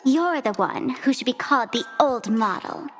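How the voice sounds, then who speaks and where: High-voiced mischievous showgirl, lively sing-song lilt, game music behind. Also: normal speed. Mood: defiant. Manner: playful, teasing, sing-song. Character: mischievous showgirl young woman, teasing, theatrical. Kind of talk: video game voice acting. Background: loud game music.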